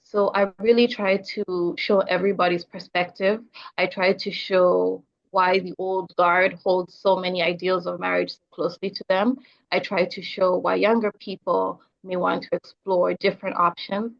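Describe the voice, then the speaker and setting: A young adult woman from Zambia, a filmmaker being interviewed on a podcast, talking earnestly and getting animated when she quotes others. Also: lowish voice, moderate pace, gravelly. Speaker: filmmaker guest. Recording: slightly dull lo-fi audio.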